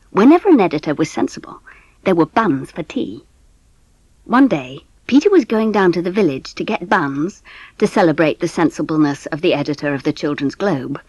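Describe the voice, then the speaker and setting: Lively, husky British woman storyteller. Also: on the low side, dry recording. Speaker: audiobook narrator, speaking as the mother. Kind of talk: scripted storybook narration. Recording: slightly dull audio under music. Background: music.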